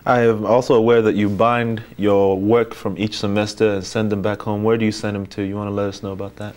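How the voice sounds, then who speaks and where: Low-voiced Nigerian-born moderator, halting. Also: male voice, level tone, a little mellow. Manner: halting, frequent fillers. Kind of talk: hosting a panel discussion.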